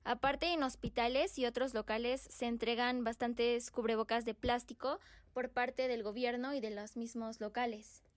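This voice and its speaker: A woman's voice: fairly high pitch, little pitch movement, normal speed, no room sound. A teenage young woman with a Mexican accent, giving her perspective conversationally as a podcast co-host. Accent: Mexican Spanish. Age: teens, secondary school student. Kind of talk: conversational opinion discussion.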